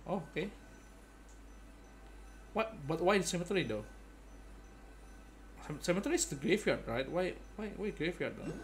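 A young man giving casual gameplay commentary as a streamer, with halting, repetitive phrasing. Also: fairly high pitch, very animated. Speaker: game streamer. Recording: clear background noise, game ambience.

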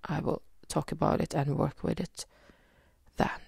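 Slow, gravelly Norwegian woman healer. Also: low voice, little pitch movement, a little mellow, no room sound, clean studio sound. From Norway. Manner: slow, soothing, hesitant with fillers. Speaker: energy healer. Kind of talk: guided energy session.

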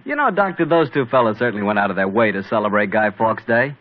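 A man announcing in old-time radio style, smooth and folksy with a lively, rising and falling delivery. His voice comes through a dull, muffled broadcast sound. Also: fairly low pitch, fast.

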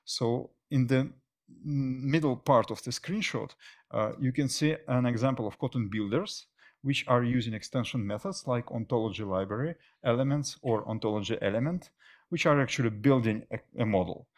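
A middle-aged man presenting technical material as a conference speaker, often pausing mid-phrase. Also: medium pitch. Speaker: conference speaker, software engineer. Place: indoor stage at a tech meetup.

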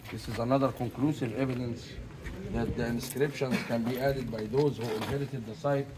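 A man's voice: mid-range voice, fairly even intonation, moderate pace. A man working as a tour guide, explaining a site to visitors, picked up from a distance. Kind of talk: explanatory on-site guiding to a group. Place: echoey ancient stone site. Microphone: picked up from a distance by the host's camera. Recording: echoey, noisy, distant from the mic.

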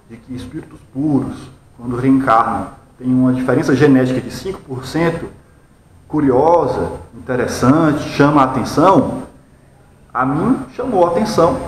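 A man in his thirties with a Brazilian accent, giving explanatory opinion commentary in a deliberate, didactic way, prone to rhetorical questions. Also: medium pitch. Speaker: video commentator. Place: small bare-walled room indoors. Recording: echoey room with background noise.

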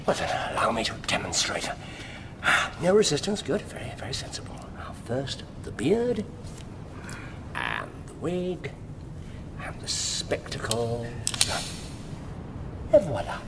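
An actor playing a shrewd, sardonic English detective in a radio drama, with precise, theatrical phrasing. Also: male voice, wildly swooping pitch, a little slow, long pauses, exaggerated voice. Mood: gloating politely. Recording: clear low rumbling background noise.

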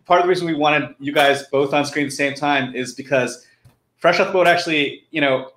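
A middle-aged man hosting an online fundraiser informally, with halting phrases and frequent restarts. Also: fairly high pitch, a bit bright, slight background noise. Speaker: host of a live online fundraiser. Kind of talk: informal live stream hosting. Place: room at home, indoors. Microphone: computer video call. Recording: echoey room on video call.

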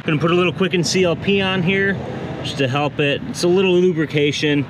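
A man's voice: fairly high pitch, fairly even intonation, brisk. A man giving a casual hands-on how-to, thinking aloud informally as he works, recorded outdoors on an action camera. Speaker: DIY how-to video host. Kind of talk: casual hands-on tutorial narration. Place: outdoors beside a vehicle in daylight. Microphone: action camera held or mounted near him. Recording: clear outdoor background noise on action camera.

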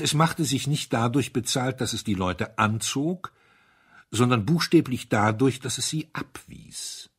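A man reading a literary story aloud as scripted narration, in a measured way with dry irony. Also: mid-range voice, slightly rough. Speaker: audiobook reader.

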